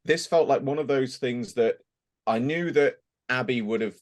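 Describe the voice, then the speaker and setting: A man's voice: medium pitch, moderate pace. A man answering as a voice actor in a casual interview, candid and a little rambling. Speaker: voice actor and podcast guest.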